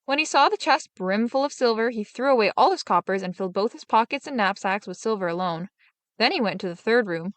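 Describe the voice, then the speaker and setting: A woman storyteller reading a fairy tale aloud in an expressive, dramatic manner. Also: fairly high pitch, fairly quick, no room sound. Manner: dramatic, animated.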